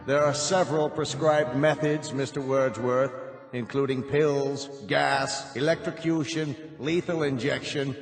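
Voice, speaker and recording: An actor playing a cold, imperious chancellor of a totalitarian state in a radio drama, speaking formally and officiously. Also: male voice, medium pitch, fairly even intonation, a little slow, vocal fry. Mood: coldly formal. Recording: echoey hall with music underneath.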